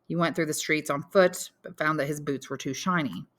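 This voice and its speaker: A woman's voice: low-pitched, fairly quick, fairly bright tone. A woman reading a literary story aloud as scripted audiobook narration. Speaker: audiobook narrator, English teacher.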